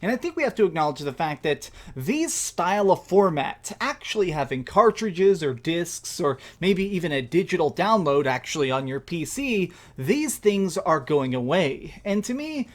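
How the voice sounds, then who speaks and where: A man's voice: fairly high pitch, sing-song, moderate pace, hardly any pauses. A man in a gaming commentary video, speaking in a downbeat, earnest way and reading out quotes. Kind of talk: video commentary clip played in a reaction.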